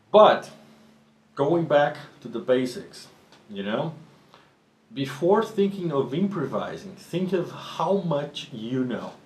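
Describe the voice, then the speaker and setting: A man whose native tongue is Portuguese speaks at a middle pitch, explaining drumming in a loose, chatty way that often stops and starts again. His voice rings around an echoey room with a faint hum of ambience underneath. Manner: relaxed, conversational, with frequent restarts. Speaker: drum teacher. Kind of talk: talking to camera about drumming. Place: drum studio.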